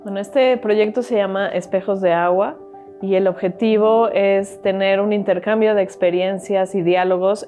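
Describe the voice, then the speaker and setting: A woman's voice: mid-range voice, dark tone. A woman giving an explanatory interview in the manner of a documentary, describing a project. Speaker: project organizer.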